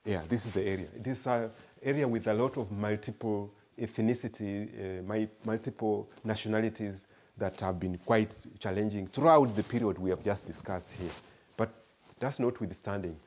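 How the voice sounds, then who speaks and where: A Kenyan man speaks as a guest lecturer, measured and unhurried, often repeating a word while he finds the next. His voice sits low and sounds mellow and relaxed. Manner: measured, repeats words while searching for them.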